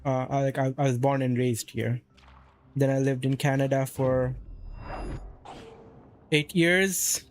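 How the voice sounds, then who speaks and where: A man's voice: medium pitch, steady tone, takes it slowly, long pauses. A man from Saudi Arabia casually chatting on a live stream, rambling and answering chat as he plays.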